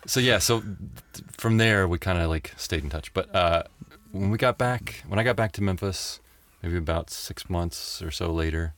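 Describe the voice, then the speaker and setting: Middle-aged American man, low register, dry wit, relaxed conversational storytelling as a guest. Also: moderate pace, few pauses. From Tennessee and Mississippi, United States. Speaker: interview guest.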